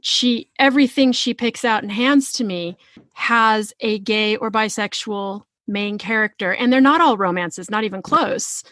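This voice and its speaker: A woman's voice: on the high side, measured pace, fairly bright tone, dry recording. A middle-aged woman hosting a casual interview, talking in a frank, playful, colloquial way. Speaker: podcast host. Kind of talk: casual, informal interview chat.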